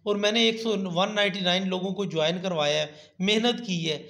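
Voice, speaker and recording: A man's voice: high voice, steady tone, normal speed, creaky, fairly bright tone. A man, talking viewers through a website on screen and often adding "theek hai". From Pakistan. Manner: repeats "theek hai" often, turns persuasive and emphatic toward the end. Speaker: channel host. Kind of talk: screen-recorded tutorial talk. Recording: echoey, dull lo-fi voice-over.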